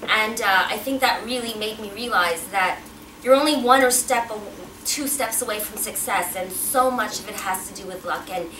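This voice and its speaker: A woman's voice: medium pitch, normal speed, pushed and bright. A woman, giving a chatty personal talk as a guest speaker and asking the audience questions. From Pakistan. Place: event hall with a backdrop, indoors. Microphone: podium mic, filmed from a distance. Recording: roomy, distant, noisy hall sound.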